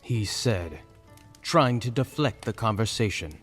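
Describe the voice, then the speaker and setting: Animated male fantasy story narrator. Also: fairly low pitch, unhurried, husky. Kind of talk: dramatic scripted story narration. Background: soft music.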